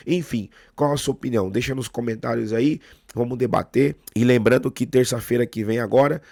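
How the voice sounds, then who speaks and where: Brazilian fan-channel host, hoarse from a cold, opinionated, dry close sound. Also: male voice, medium pitch, darkish tone, no echo. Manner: opinionated, talks straight to the viewer. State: hoarse with a cold, sore throat. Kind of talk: casual match analysis to camera.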